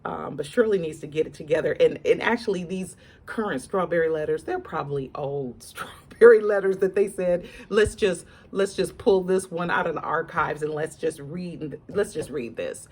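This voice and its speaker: A woman's voice: lowish voice, moderate pace, a little mellow, husky. A woman giving casual celebrity gossip commentary in a conversational way, repeating words for emphasis and addressing her viewers directly. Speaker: online commentator.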